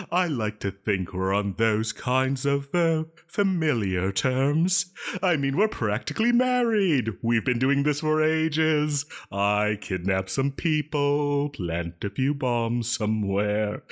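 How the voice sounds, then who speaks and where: A giggly, theatrical supervillain with swooping pitch. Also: male voice. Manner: theatrical, giggly, mock-polite, needling. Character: theatrical obsessive male supervillain, playfully menacing and flirtatious. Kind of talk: solo audio roleplay addressed to listener.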